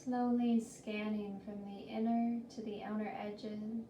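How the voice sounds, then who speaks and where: A woman leading a guided body scan meditation in a gentle, soothing, deliberate manner with long pauses. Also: mid-range voice, level tone. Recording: roomy, distant mic with faint noise.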